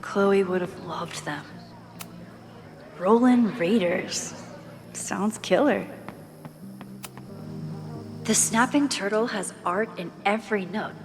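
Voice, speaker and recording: A woman's voice: medium pitch, slow pace, pauses often, noisy background. A woman playing a thoughtful, caring young woman in a video game, speaking in an understated, introspective way. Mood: musing. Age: young adult. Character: thoughtful young woman, caring, quietly burdened photographer with powers. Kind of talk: video game acting, inner monologue and casual dialogue. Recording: music behind the voice.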